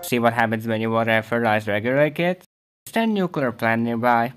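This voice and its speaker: A man's voice: medium pitch, fast talker, lightly acted. A man voicing a cocky, wisecracking young big brother in a cartoon game fandub. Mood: wry.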